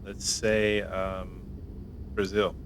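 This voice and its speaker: A man's voice: deep voice, level tone, drawn-out words, background noise. A man co-hosting a podcast in casual chat, guessing hesitantly and joking around. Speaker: podcast co-host.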